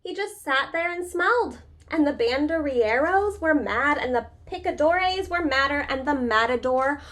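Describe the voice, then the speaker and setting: A woman's voice: sits high, lively intonation, normal speed, a bit bright. A woman reading a children's picture book aloud like a preschool teacher, expressively, with casual, chatty asides and questions to young listeners. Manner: informal, chatty explanations.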